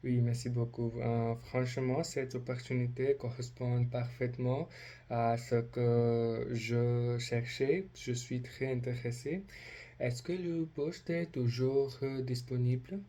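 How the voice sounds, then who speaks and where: A man with a non-native accent practising a polite role-play inquiry as a language learner, speaking haltingly with many hesitations. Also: medium pitch, level tone, dark tone. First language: not French.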